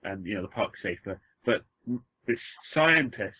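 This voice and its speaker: A man's voice: fairly low pitch, animated, moderate pace, slightly rough. Welsh man on a tinny podcast line. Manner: rambling, joking, trails off and restarts. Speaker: podcast co-host. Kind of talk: casual podcast chat. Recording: phone-like lo-fi dry sound.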